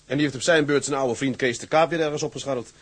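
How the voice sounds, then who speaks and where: A man's voice: medium pitch, fairly even intonation, quick pace, breathy edge, close to an everyday voice. An actor playing a weary, earnest, fatherly merchant sea captain in a Dutch radio drama. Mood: brisk, friendly.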